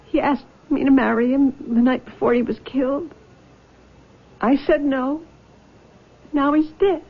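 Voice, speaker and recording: A haunted, tearful young nurse. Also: female voice, high voice. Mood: guilty. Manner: tearful, shaky, halting. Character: haunted young nurse, frightened and guilt-ridden. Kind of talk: 1970s radio drama acting. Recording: dull old radio broadcast.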